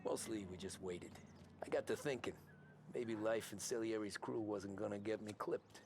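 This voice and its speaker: An actor playing a young mob recruit who narrates his own story in a low-key, dry, understated way. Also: moderate pace, darkish tone, a little hiss or hum, close to an everyday voice. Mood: reflective. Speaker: narrator and protagonist. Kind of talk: reflective game narration.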